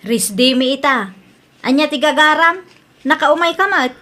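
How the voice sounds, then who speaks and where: A woman plays a nosy older sister in an Ilocano drama. Her high voice carries an Ilocano accent as she frets and disapproves over family matters. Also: animated, normal speed, pushed and bright. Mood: curious. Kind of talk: Ilocano audio drama dialogue.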